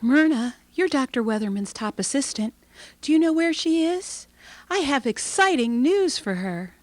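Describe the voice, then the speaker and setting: A woman's voice: sits high, expressive, moderate pace, no echo. A woman playing an eager, cheerful lab assistant in an old-time radio drama. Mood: excited. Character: eager, cheerful young lady lab assistant, newly engaged. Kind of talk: Golden Age radio drama acting.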